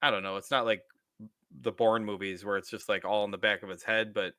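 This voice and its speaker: A man's voice: fairly low pitch, flat delivery, brisk, sharp tone, smooth, dry recording, clean studio sound. A man co-hosting a casual podcast, joking crudely and summing up a movie. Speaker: podcast co-host.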